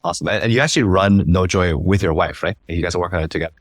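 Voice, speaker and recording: Casual podcast host guy, lower voice, talks fast, super informal, dry close sound. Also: no echo. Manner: informal, frequent "like" and tag questions. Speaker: interview host. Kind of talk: casual chat.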